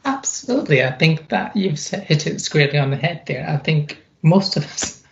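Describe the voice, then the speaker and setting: A woman with a deep, gravelly voice, recounting her experiences in an emphatic, conversational interview style. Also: normal speed. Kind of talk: reflective personal account in an interview. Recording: dull, muffled lo-fi sound.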